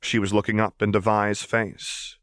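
A man's voice: on the low side, level tone, normal speed, a bit bright, dry recording, studio quality. A man reading dramatic fiction aloud as an audiobook narrator.

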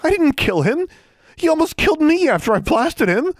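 A man's voice: extremely high pitch, big pitch swings, moderate pace, no echo, voice pushed up. A man putting on a desperate defiant girl. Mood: distraught.

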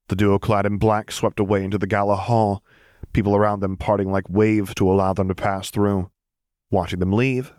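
A man's voice: low voice, a little mellow, no echo, studio quality. A man reading scripted fiction as an audiobook narrator.

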